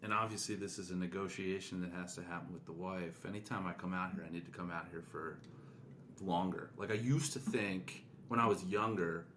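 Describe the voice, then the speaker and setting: A man's voice: sits low, fairly even intonation, a little fast, a bit bright, a little hiss or hum. A middle-aged man co-hosting a podcast in casual, conversational style. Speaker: podcast co-host.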